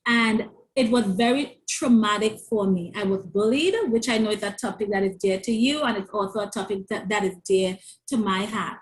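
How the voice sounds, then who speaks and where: A woman's voice: medium pitch, moderate pace, poor audio. A woman with a stutter and a lisp, earnestly telling her story as an interview guest, often repeating words as she restarts. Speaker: author and parent coach.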